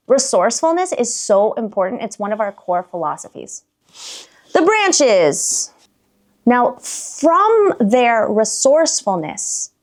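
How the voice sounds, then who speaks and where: A woman hosting a business show, speaking upbeat and self-deprecating, often laughing as she tells stories and teaching through metaphors. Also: a little high. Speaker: beauty business owner and show host. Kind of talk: business talk-show monologue.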